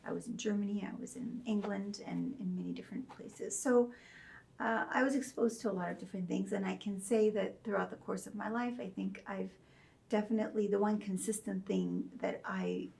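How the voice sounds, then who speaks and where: A middle-aged woman from Saudi Arabia, a religion professor speaking as an interview guest, telling her story in a measured, thoughtful way with soft fillers. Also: mid-range voice, steady tone, gravelly.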